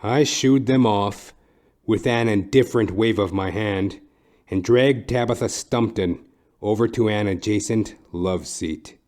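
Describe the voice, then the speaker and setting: Man acting a deadpan hardboiled detective narrator. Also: lowish voice, lightly acted. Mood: wry deadpan. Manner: deadpan, florid, deliberate storytelling. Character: wry, lecherous hardboiled male private detective narrator. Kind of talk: scripted noir-parody narration.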